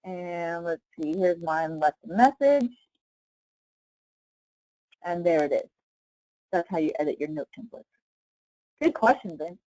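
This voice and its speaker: A woman leading a casual live software tutorial as a trainer, explaining step by step in an informal way with frequent check-in questions. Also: lowish voice, a little slow, long pauses, no echo, dull audio.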